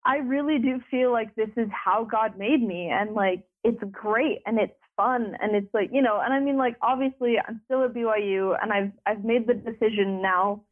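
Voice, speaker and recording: A young woman is heard over a tinny phone line. Her voice sits fairly high, and she rambles through her story with many fillers and restarts. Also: normal speed, hardly any pauses. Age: young adult. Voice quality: deeper voice. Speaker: interview guest. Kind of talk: conversational interview.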